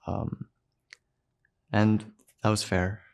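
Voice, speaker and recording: A young man telling his own story in a short film, soft-spoken and halting, with pauses and fillers. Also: lowish voice, level tone, gravelly. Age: young adult. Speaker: student filmmaker narrating his own story. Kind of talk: personal narration for a short film.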